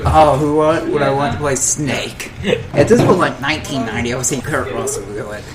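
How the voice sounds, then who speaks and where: A man's voice: medium pitch, fairly quick. A man talking casually and with strong opinions on a panel, rambling and often restarting and repeating his phrases. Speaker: podcast panelist. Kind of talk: casual opinionated chat about games and films. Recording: background crowd chatter.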